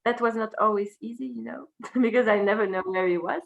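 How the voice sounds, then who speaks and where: A woman from Brussels with a Belgian French accent, a native French speaker talking casually as an interview guest in halting English, searching for words. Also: mid-range voice, gravelly, dull audio. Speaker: interview guest, singer and teacher.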